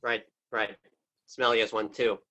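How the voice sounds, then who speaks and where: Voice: medium pitch, little pitch movement, unhurried, pushed and bright, muffled recording. A stage actor playing a defeated villain who gives up with dry, sarcastic quips. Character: sulky defeated villain, wisecracking. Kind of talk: stage play acting.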